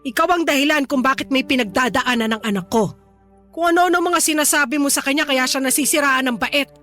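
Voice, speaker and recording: A hostile, old-fashioned mother in a radio drama. Her voice is very high and piercingly bright, full of scornful, indignant accusation, with faint music behind. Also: brisk. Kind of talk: Tagalog radio drama acting.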